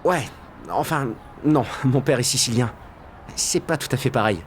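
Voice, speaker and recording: An actor playing a shy, gentle teenage boy in a French audio drama, speaking casually and hesitantly. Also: medium pitch, normal speed, a little hiss or hum, natural voice. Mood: shy, friendly. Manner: hesitant, soft-spoken casual talk.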